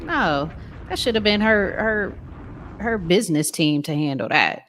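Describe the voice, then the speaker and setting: Bright, lively woman, blunt and sassy. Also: on the low side, measured pace, a little hiss or hum. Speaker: podcast panelist. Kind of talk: casual chat.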